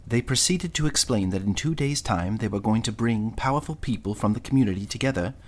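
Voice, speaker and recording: Man acting a low-voiced, haunted confessing butler. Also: a little fast, lightly acted. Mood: remorseful. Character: ashamed butler confessing in a letter, haunted by his past. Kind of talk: dramatic first-person narration in radio play.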